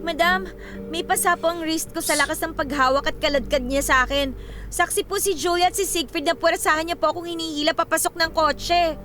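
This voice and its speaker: A woman acting a spirited, feisty young female student in a Filipino radio drama, earnest and expressive. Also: very high voice, brisk, faint music behind. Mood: distressed.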